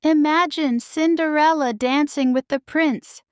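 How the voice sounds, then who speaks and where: Lady doing a bratty spiteful stepsister, whiny, pitched up high, fairy tale style. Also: fairly even intonation, measured pace, dry recording, studio quality. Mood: mocking. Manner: cackling, whiny. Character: spoiled, spiteful young stepsisters, whiny and shrill.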